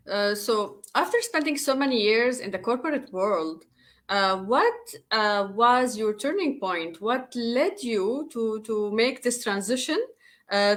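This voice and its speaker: A woman whose first language is Arabic, hosting an interview with enthusiasm and many halting fillers. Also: on the high side. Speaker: interview host.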